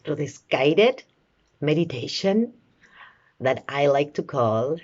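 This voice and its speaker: A woman leading a guided meditation in a soothing, measured way, with long pauses between short phrases. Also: sits low, big pitch swings, a little mellow, dull audio. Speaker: meditation guide, life coach.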